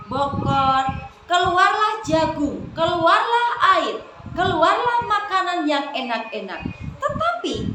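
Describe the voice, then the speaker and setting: Female children's storyteller. Expressive and slow-paced, speaking to little listeners in an echoey room. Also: sits high. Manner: expressive, addresses listeners often, dramatic pauses. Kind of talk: animated storytelling to young children. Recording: echoey room, clearly noisy background.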